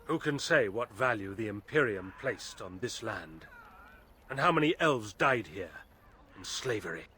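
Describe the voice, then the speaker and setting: An actor playing a grave elven storyteller in a video game, speaking in measured, formal phrases with solemn weight. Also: male voice, fairly low pitch, animated, bright, forceful tone, a little hiss or hum. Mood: solemn, ominous. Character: grave elven storyteller warning of a cursed forest. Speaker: lore-telling game character. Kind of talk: dramatic video game dialogue.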